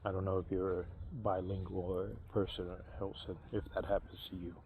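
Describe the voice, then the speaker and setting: Halting, low-voiced man in his fifties. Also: flat delivery, creaky, phone-quality sound, slight background noise. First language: English. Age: 50s. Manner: halting, many fillers and restarts. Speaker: vlogger. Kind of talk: casual personal talk to camera.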